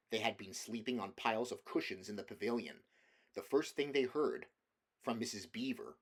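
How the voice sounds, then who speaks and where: A man reading a children's fantasy novel aloud as scripted storybook narration. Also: lowish voice. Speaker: amateur audiobook reader.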